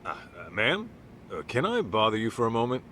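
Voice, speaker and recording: A man playing an easygoing, gruff middle-aged private detective in a video game, talking in a casual way. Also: lowish voice, huge pitch swings, normal speed, fairly bright tone, soft background music. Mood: polite, resigned. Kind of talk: video game cutscene acting.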